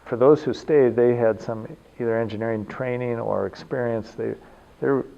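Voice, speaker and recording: A man talking casually in an interview about an engineering class he runs, relaxed, with fillers and soft laughs. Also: mid-range voice, moderate pace, vocal fry, dull audio. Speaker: engineering program organizer.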